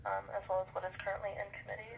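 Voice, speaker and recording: Quick, creaky-voiced woman on speakerphone. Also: mid-range voice, completely flat delivery. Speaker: senate office staffer taking calls. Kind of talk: formal phone customer service. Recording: noisy phone call on speakerphone.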